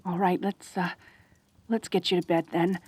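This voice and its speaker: A woman's voice: fairly low pitch, little pitch movement, moderate pace, background noise, lightly acted. A woman voicing a lonely, possessive but caring centaur girl in a roleplay audio drama, speaking in a hesitant, stammering, earnest way. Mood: gentle, caring. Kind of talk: roleplay ASMR audio drama, intimate one-sided dialogue.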